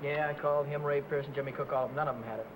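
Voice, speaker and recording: An actor playing a restless young auto mechanic short of money, with an American accent and breezy, slangy talk in old crime film dialogue. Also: male voice, a little high, steady tone, racing, close to an everyday voice. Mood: disappointed. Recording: noisy old 1950 film soundtrack.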